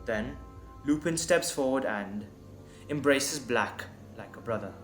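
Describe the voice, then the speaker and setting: A man reading scripted dramatic narration in a deliberate, suspenseful manner. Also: mid-range voice, lively intonation. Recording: echoey, music underneath. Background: music.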